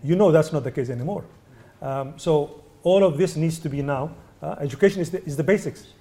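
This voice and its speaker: A man from Sudan, a UNICEF representative, answering press questions in a measured way with many hesitations. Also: fairly high pitch, warm tone. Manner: measured, many ums and uhs, restarts. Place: indoor venue.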